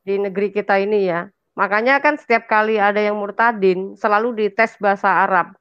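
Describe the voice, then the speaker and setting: A woman's voice: medium pitch, a little fast, a bit bright, clean tone, no echo, dull audio. An Indonesian woman in an impassioned, conversational religious discussion, emphatic and colloquial, repeating phrases and checking for agreement. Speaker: talk show speaker on religion.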